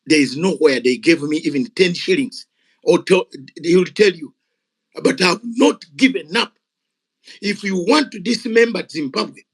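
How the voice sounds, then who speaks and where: An older man with a Ugandan English accent speaks at a gathering, high-pitched for a man, with a gravelly, husky edge. He is fiery and emphatic, hammering points home by repeating words. The speech is off the cuff and impassioned. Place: gathering of activists.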